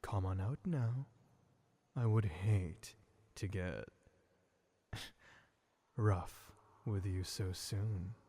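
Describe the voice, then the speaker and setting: A man playing a sadistic, possessive vampire in an ASMR roleplay, speaking in a taunting, condescending, deliberate way. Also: low-pitched, breathy edge, exaggerated voice. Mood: menacing, coaxing. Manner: taunting, condescending, slow and deliberate with soft chuckles. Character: sadistic, possessive male vampire toying with human captive.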